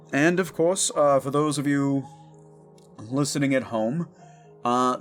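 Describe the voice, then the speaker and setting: A man in his thirties with a mid-pitched voice talks casually over guitar music. He hosts an audio channel and addresses his listeners in a loose, rambling, informal style. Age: 30s. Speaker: audio drama creator and host.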